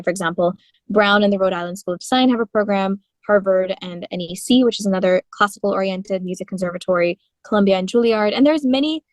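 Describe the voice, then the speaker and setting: Guest singer-songwriter, woman in her twenties from India, animated storyteller, mid pitch, dry close mic. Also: no echo. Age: 20s. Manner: animated storytelling with frequent laughs and fillers. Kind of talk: conversational interview.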